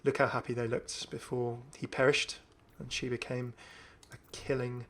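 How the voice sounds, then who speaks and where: A man's voice: medium pitch, level tone, normal speed. A man giving casual, off-the-cuff video game commentary as a host, chatty and often musing aloud. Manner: chatty, musing aloud, asks himself questions.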